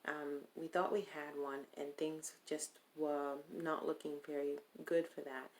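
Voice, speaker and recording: A woman from California vlogging casually while speaking in a deliberately put-on accent, rambling and hesitant with many pauses. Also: sits low, flat delivery, slight background noise. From Stockton, California. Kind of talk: casual video chat.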